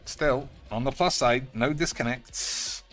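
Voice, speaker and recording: Bright-voiced, sweary male game streamer. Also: mid-range voice, slow, faint music behind. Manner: sweary, wry muttering to himself. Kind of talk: casual rambling gameplay commentary. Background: video game sounds.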